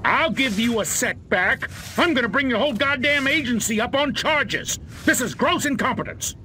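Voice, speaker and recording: A man playing a blustering, angry middle-aged American military officer in a video game cutscene, gruff and profane. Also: extremely high pitch, lively intonation, normal speed, background noise.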